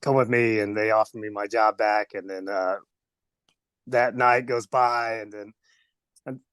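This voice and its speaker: A man's voice: fairly low pitch, level tone, unhurried, fairly bright tone, clear, resonant tone, dry recording. A man with a Southern US accent, a guest wrestler telling stories casually, laughing often and rambling with many fillers. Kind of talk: casual storytelling.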